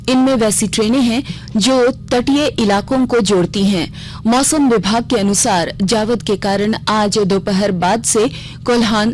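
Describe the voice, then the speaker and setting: A woman news anchor reads in a fairly high voice. The delivery is formal and scripted, as for a regional radio bulletin. There is faint noise in the recording. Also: a little mellow. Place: radio studio. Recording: clearly noisy regional radio broadcast.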